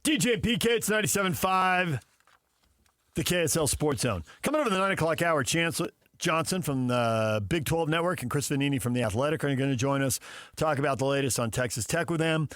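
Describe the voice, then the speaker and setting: A man's voice: fairly high pitch, expressive, brisk, a bit bright, no room sound, studio quality. An American man hosting a sports talk radio show, bantering with guests and colleagues.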